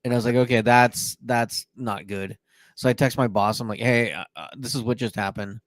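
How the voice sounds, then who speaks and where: A man with a mid-range voice co-hosting a podcast, talking at length in a loose storytelling way. He fills pauses freely and circles back as he goes, recorded dry and close. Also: medium pitch, fairly even intonation, no room sound. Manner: talkative storyteller, many fillers. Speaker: podcast co-host. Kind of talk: casual podcast chat.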